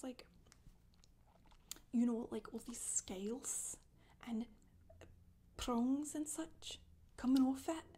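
A woman playing a chatty, boastful witch in a soft-spoken ASMR monologue, rambling and gossipy, often slipping into a whisper. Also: sits high, slightly rough, exaggerated voice. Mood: confiding. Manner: rambling, gossipy, casual asides. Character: Scottish witch woman confessing, matter-of-fact, chatty, boastful. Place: small indoor room, seen seated.

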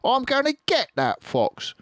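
A cruel, bossy farmer barks his orders in a high, strained shout. An older man does the voice for a read-aloud storybook. He is angry and hard of hearing. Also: wildly swooping pitch, unhurried, dry recording, studio quality, full character voice. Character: mean, deaf, bossy tall farmer.

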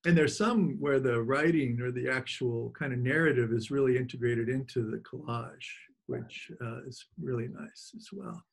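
Male event host, mid-pitched, informal and appreciative, halting delivery, giving thanks and announcements on a video call. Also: vocal fry. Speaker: host of an online book reading, press editor.

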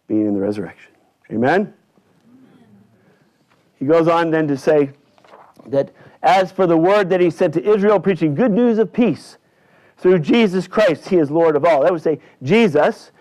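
A male pastor delivering a church sermon in deliberate, emphatic short phrases with repetition. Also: a little high, a little mellow. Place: indoors in a church at a lectern. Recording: dull, slightly lo-fi church recording.

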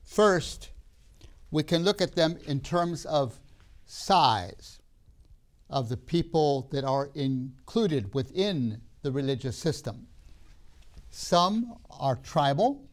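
Older male lecturer, slightly high voice, measured and emphatic, lapel mic in a classroom studio. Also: lively intonation. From Tanzania. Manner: measured, emphatic pauses, gestures while talking.

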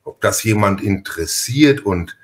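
Low-voiced man hosting a podcast. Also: animated, a little slow, slight background noise. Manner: chatty, wordy, self-interrupting with repeated words. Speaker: podcast host and interviewer. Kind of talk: casual interview chat.

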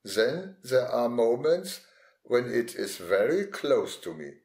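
Male eyewitness, unhurried informal recollection, roomy echo. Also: medium pitch, big pitch swings, darkish tone. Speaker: eyewitness recalling memories. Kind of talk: informal first-person recollection. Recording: roomy, echoing voice recording.

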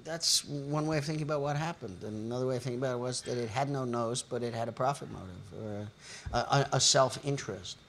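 A man's voice: mid-range voice, fast, gravelly, a bit bright, a little hiss or hum. An older man moderating a panel discussion in a lecture hall, speaking in long, articulate, lecturing sentences.

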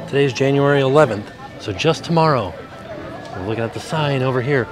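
A man's voice: mid-range voice, fairly quick, crowd noise. A man giving informative vlog commentary outdoors at a theme park. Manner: informative, reading rules aloud. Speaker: vlogger.

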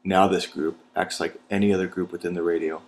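A man's voice: deep voice, flat delivery, a little fast, heavy background noise. An American man narrating a scripted training video as an instructor, in a methodical, step-by-step manner.

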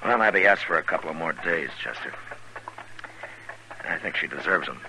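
An actor playing a steady, laconic frontier marshal in 1950s radio drama, with measured, understated delivery and hesitant pauses. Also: male voice, very deep voice, full character voice. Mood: thoughtful. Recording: noisy vintage radio recording.

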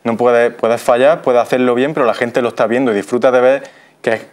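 A man's voice: medium pitch, steady tone, a little fast, darkish tone. A man, talking as a guest in a studio interview. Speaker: radio theatre member, guest. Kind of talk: interview talk.

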